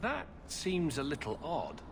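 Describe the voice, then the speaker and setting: An actor playing a blunt, wry human adventurer in a fantasy video game, with a dry, casual manner. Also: male voice, on the high side, huge pitch swings, a little slow, over music, full character voice. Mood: questioning.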